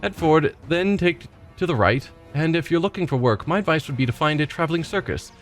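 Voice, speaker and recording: A man's voice: on the high side, animated, a little fast, soft background music, voice pushed up. Man reading as a bitter wounded werewolf. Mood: wry. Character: bitter wounded male werewolf loner, wry.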